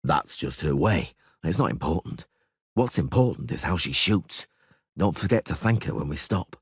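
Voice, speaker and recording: A male narrator voicing a weary grown monster hunter who speaks in a curt, dry, gruffly sarcastic way. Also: very deep voice, animated, normal speed, no room sound, full character voice. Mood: serious. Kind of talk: audiobook character voice.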